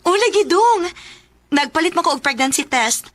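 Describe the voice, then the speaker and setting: An actress playing a coy young sweetheart and devoted wife in a radio melodrama, tender, with a Cebuano accent. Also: female voice, very high voice, expressive, moderate pace, noisy background. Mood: tender, excited. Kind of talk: Philippine radio melodrama acting.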